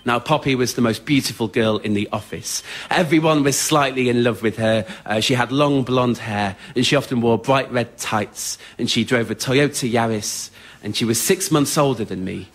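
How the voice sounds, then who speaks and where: A man's voice: medium pitch, moderate pace. A man performing a scripted comic monologue on a theatre stage for radio, in an understated, self-deprecating, earnest way. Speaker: writer-performer storyteller. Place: theatre stage before an audience.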